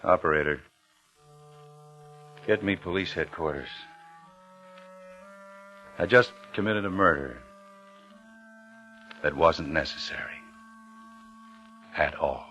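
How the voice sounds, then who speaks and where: A stunned killer in a vintage radio thriller. He speaks very slowly and gravely in a bright-edged, low voice. The recording is dull and old. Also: faint music behind, exaggerated voice. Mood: grim, resigned. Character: stunned, guilt-ridden man confessing to murder. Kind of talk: 1950s radio suspense acting.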